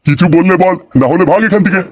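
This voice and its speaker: Guy doing old bengali cartoon grandpa, doting but crude and blustery, talks fast. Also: high voice, a little mellow, close to an everyday voice. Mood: irritated, threatening. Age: older. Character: foul-mouthed old Bengali grandfather, doting yet combative. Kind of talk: comic cartoon dubbing.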